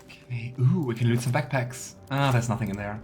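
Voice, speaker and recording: A man's voice: mid-range voice, brisk, a little mellow. A man hosting a let's play, chatting casually and musing aloud as he goes. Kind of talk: casual game commentary. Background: game music.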